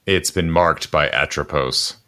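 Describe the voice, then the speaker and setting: A man's voice: extremely low pitch, measured pace, pushed and bright, clear, resonant tone, exaggerated voice. A man playing a roguish, imperious protector in an audio drama, urbane, commanding, impatient and darkly flippant. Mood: coolly menacing.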